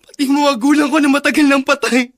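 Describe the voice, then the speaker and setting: Casting: young orphaned nephew in radio melodrama, very high voice, meek, respectful, plaintive pleading. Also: male voice, steady tone, a little fast, fairly bright tone. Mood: hurt, tearful. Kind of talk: melodramatic radio drama acting.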